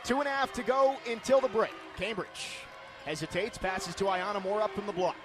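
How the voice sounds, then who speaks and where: A man's voice: high voice, a little fast. A man calling live sports play-by-play in clipped, vivid phrases. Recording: noisy live game broadcast.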